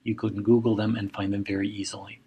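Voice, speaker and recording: Gravelly middle-aged man. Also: on the low side, flat delivery, dark tone, muffled recording. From Mexico. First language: Spanish. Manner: measured, advising, direct imperatives. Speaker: journal editor and professor. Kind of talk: recorded seminar lecture.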